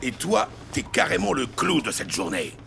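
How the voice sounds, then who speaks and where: An actor playing a tough, weary New York police lieutenant, curt, menacing and foul-mouthed, in a gritty audio drama. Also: male voice, on the high side, huge pitch swings, moderate pace, creaky, noisy background, exaggerated voice. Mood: cold menace. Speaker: police detective.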